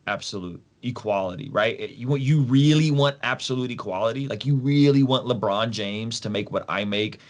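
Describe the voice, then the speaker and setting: A man's voice: medium pitch, moderate pace, hardly any pauses. A man from Soviet Russia, a podcast guest explaining things in an emphatic, casual, slangy way, repeating phrases and posing rhetorical questions.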